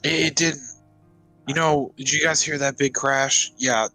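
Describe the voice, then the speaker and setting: A man's voice: on the high side, level tone, a little slow, creaky, poor audio. A man talking casually as a roleplay player, narrating his character's actions and lines in an informal, exasperated way. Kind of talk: casual in-game narration and dialogue. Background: music.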